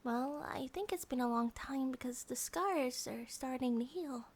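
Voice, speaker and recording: Woman acting a gentle monster-girl bear wife, high voice, unhurried, soft, half-whispered, affectionate, ASMR comfort roleplay. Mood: tender, caring. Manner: soft, soothing, affectionate, often dropping to a whisper.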